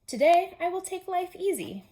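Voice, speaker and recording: A woman's voice: very high voice, big pitch swings, normal speed, dark tone, voice pushed up. Woman voicing a grumbling, dramatic storybook toad.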